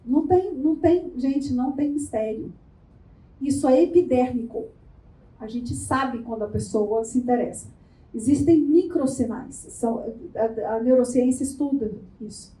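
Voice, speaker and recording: A Brazilian woman giving a lively networking workshop, talking playfully and firing quick questions at the room. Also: high voice, slight background noise. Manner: animated, playful, asks the room rapid questions. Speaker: workshop speaker. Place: event room before a live audience. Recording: echoey room.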